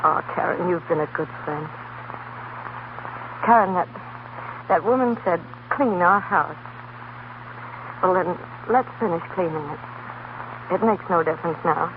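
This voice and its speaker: An earnest young schoolteacher played by a woman in an old radio drama, intense and full of feeling. The sound is thin and narrow, with clear noise behind the voice. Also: on the low side, measured pace, long pauses, natural voice. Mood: sad, confessing. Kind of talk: 1930s radio drama acting. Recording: noisy, tinny 1930s radio broadcast.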